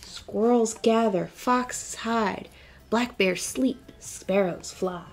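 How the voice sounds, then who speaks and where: A woman's voice: a little high, extremely slow, faint music behind. A young adult woman reading picture books aloud as a children's librarian, encouraging and speaking directly to young listeners. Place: indoor library room with bookshelves.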